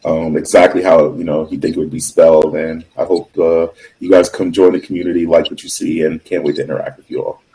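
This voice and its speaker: Deep-voiced earnest man. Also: little pitch movement, dark tone, a little hiss or hum, poor audio. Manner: earnest, measured, lots of fillers. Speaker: podcast guest. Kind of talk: casual chat.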